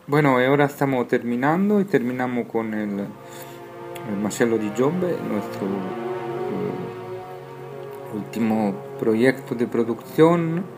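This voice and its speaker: A man with a Spanish accent, a native Spanish speaker, presenting on radio haltingly and searching for words, mixing in Spanish. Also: mid-range voice, expressive, music in the background. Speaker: radio host.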